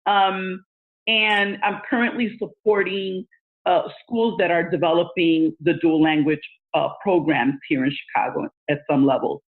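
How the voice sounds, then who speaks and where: A woman's voice: on the low side, fairly even intonation, measured pace. A woman in her forties whose first language is Spanish, earnestly answering interview questions with frequent fillers. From United States. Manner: earnest, thoughtful, many fillers. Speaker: guest, education leader. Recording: tinny phone line.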